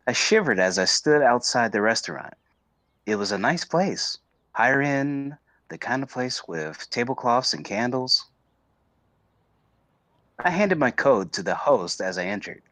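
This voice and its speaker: Male streamer narrator, mid-pitched, lively delivery, casual reading, dry close mic. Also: measured pace, long pauses, no room sound. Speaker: streamer reading a visual novel aloud.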